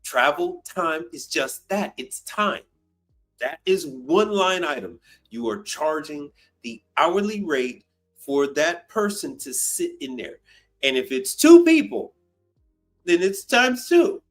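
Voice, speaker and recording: A man in his fifties speaks off the cuff in a fairly high voice, with music behind him. He is blunt and emphatic, doubling back on phrases for punch and swearing freely as he hosts a livestream. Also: sing-song, slow pace. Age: 50s. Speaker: livestream host, commercial appliance service technician. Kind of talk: unscripted livestream talk answering viewer questions.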